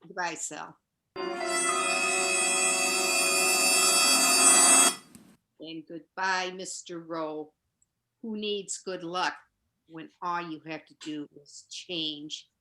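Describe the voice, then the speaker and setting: A woman playing a quietly cold, scheming widow in a campy noir radio play. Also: on the low side, noisy background. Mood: coldly triumphant. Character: quietly cold, relieved widow Vera, secret poisoner.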